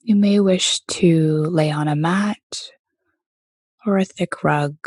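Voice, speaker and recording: A woman guides a meditation at a very slow, unhurried pace. Her voice is soft and sits a little low. She leaves gentle pauses between phrases, and the recording is dry and close. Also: no echo. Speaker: meditation teacher leading a body scan.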